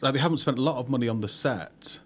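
A man's voice: medium pitch, fast. A man casually moderating a live audience Q&A after a film screening, asking questions in an informal way. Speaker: host, Q&A moderator.

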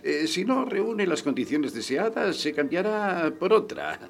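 A man's voice: on the high side, sing-song, a little slow. An actor playing a sly, pedantic male agent in radio theatre, speaking with hesitant ehs and ahs. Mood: businesslike, sly.

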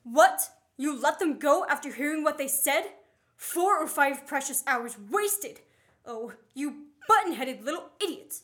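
Woman playing a high-pitched, brisk male fugitive. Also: lively intonation, a bit bright. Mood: exasperated. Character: Richard Hannay, wronged male fugitive, brisk and impatient. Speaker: student actor. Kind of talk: amateur radio play acting.

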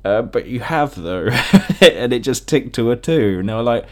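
A man's voice: mid-range voice, animated, normal speed. A man hosting a podcast in a casual, chatty solo style, with a jokey manner. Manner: jokey, laughs often at own remarks; acts out imagined quotes. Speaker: podcast host.

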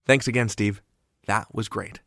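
A man's voice: fairly low pitch, steady tone, normal speed, gravelly, pushed and bright, no echo, clean studio sound. A man hosting a show, upbeat as he thanks a guest and introduces the next piece. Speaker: podcast host.

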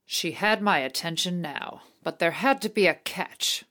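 An actress playing a wry, hard-boiled private eye in a radio play, with a dry, sardonic manner. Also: female voice, on the low side, a little slow, bright, forceful tone. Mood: wry, world-weary. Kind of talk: radio play noir narration and dialogue.

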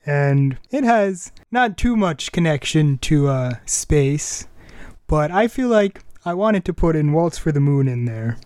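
A man's voice: on the high side, animated, measured pace, darkish tone, smooth, no room sound. A man hosting a casual music and anime podcast, chatting in an enthusiastic, rambling way. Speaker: podcast host.

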